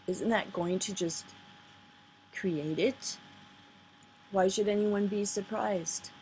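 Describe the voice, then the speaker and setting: A woman's voice: mid-range voice, steady tone, slow pace, a little mellow, a little hiss or hum. A woman giving rambling, earnest, off-the-cuff commentary in a casual style, on a poor-quality home recording. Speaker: video commentator. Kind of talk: casual off-the-cuff commentary over played videos.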